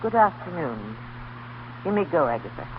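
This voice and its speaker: A muffled, faintly hissy old radio recording carries a stern elderly grandmother. She is dignified and brusque, commanding like the head of a grand household, and her lines swing widely in pitch in period drama style. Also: sing-song, normal speed. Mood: grave, firm. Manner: imperious, brusque. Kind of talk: 1930s radio drama acting. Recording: muffled, faintly noisy 1930s radio broadcast.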